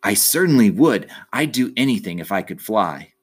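A man's voice: on the low side, very animated, normal speed, breathy edge. A man voicing a kind, eager little boy in a storybook, speaking earnestly. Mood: eager, wishful.

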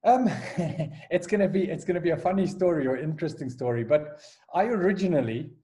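A man's voice: fairly high pitch, expressive, fairly quick, dark tone. A South African man in his forties, a school headmaster, talking through his past in a casual podcast interview, often restarting his sentences. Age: 40s. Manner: hesitant, many restarts and repeated words. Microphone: call recording.

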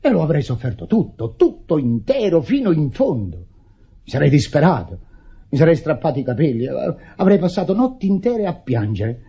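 Husky, indignant grieving widower, dramatically animated. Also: male voice, on the high side, moderate pace, full character voice. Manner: declamatory, stammering repetitions in agitation. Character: indignant grieving widower, theatrical, self-pitying, berating his sister. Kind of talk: Italian radio drama acting. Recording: dull lo-fi old radio recording.

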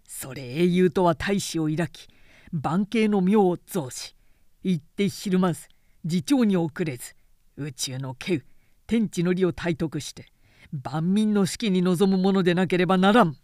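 A woman's voice: lowish voice, measured pace, mellow, dry recording, clean studio sound, close to an everyday voice. Woman acting haughty, declamatory male warlord. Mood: grandiose. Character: proud, domineering male warlord, mocking and grandiose.

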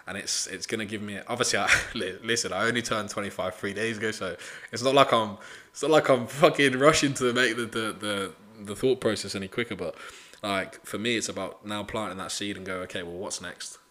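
A young man talks straight into the mic, his voice low-pitched but bright and forward. He is blunt and motivational, swears freely, and keeps tossing in "you know" as he goes. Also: a little fast. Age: 20s. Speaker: podcast host. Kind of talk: casual solo podcast monologue.

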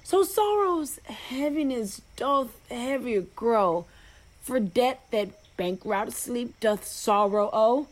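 A woman playing a lovesick, scornful young gentleman, delivering measured, melodramatic Shakespearean verse in a radio play. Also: fairly high pitch, lively intonation. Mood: weary resignation.

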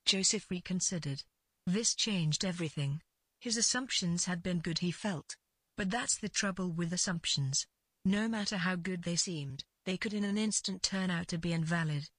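Husky low-voiced woman narrating fiction. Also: moderate pace, dry recording. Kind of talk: scripted literary fiction narration.

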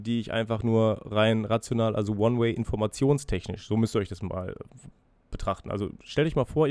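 Ein erkälteter Mann spricht frei und nachdenklich in seinem Podcast, reflektiert über sich selbst, mit Zögern und Füllwörtern. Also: male voice, mid-range voice, darkish tone, clean tone, no echo. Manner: freely spoken, musing, self-reflective with hesitations. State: has a cold, breathing heavily. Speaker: podcast host. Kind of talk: casual solo podcast monologue.

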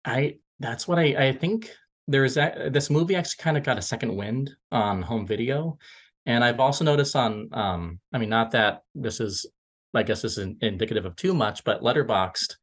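A man co-hosting a film podcast, chatting casually in a halting, rambling way and often correcting himself. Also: mid-range voice.

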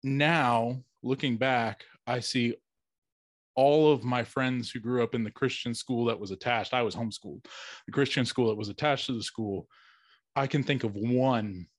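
A man's voice: mid-range voice, a little slow, dry recording. A young adult man chatting casually as an interviewer.

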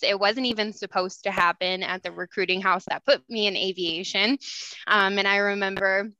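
Smooth, bright-toned woman guest. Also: medium pitch, steady tone, no echo, lo-fi sound. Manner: measured, earnest, frequent "um"s. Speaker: guest, aviation talent recruiter. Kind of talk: interview answers.

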